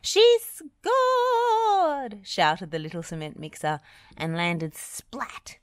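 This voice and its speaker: A woman reading a children's storybook aloud in an expressive, deliberate way. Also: low-pitched, dry recording, clean studio sound. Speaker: audiobook reader.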